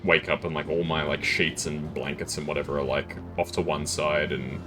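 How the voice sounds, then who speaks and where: Deep-voiced man chatting through a game. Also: normal speed, over music. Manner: relaxed, chatty, thinks aloud while reading chat. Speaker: game streamer. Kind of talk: casual gameplay chat with viewers.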